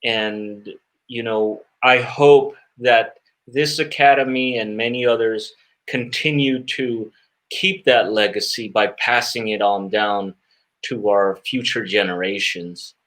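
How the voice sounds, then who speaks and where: A man answering interview questions as a guest magician, earnest and reflective, often pausing and repeating words as he searches for phrasing. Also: on the low side, steady tone, a bit bright, low-quality recording.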